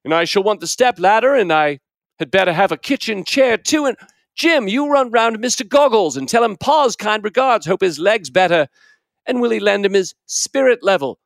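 A man's voice: high voice, expressive, normal speed, no echo, studio quality, pitched above the performer's own voice. A man voicing a fussy, bossy middle-aged man in an affected British accent, ordering everyone about.

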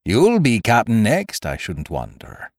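A man acts out a sly, menacing pirate sea cook from an adventure storybook. His rustic sailor talk swings dramatically in pitch and takes its time, full of contempt and command. The sound is close and dry. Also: huge pitch swings, measured pace, no room sound, heavily acted. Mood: mocking, sly. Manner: rustic old-time sailor speech with theatrical emphasis. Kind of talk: dramatised audiobook reading of a classic adventure story.

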